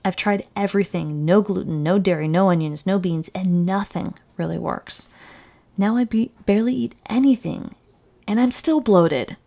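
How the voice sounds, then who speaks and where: A woman's voice: mid-range voice, moderate pace, gravelly, dark tone. A woman playing an anxious, worn-out thirty-nine-year-old female client in a mock counseling roleplay, speaking in a weary, hesitant way. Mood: frustrated, exhausted.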